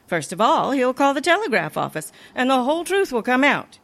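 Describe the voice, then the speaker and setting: A woman playing a wry, sensible but soft-hearted schoolteacher in an old-time radio comedy, gently admonishing. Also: high-pitched, big pitch swings, fairly quick, vocal fry, full character voice. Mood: wry, warning.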